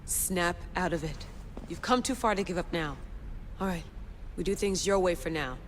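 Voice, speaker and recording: A woman's voice: lowish voice, moderate pace, a little hiss or hum, natural voice. A woman playing a young modern adventurer in a video game, self-doubting yet determined, acted naturally. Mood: resolved. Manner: self-doubting, talking herself into courage.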